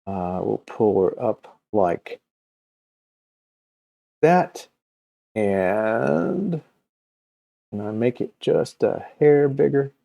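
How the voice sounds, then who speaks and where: A middle-aged man talking casually to viewers as a video host, unscripted, with a halting, meandering delivery and long hesitations. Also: lowish voice, lively intonation, creaky, mellow. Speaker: host of a comics recommendation video channel.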